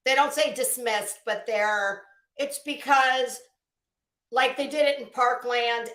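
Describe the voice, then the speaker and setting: A woman's voice: on the high side, fairly bright tone, reverberant, low-quality recording. A woman hosting casual, critical commentary, blunt, emphatic and scornful in the way she talks. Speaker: YouTube commentary show host.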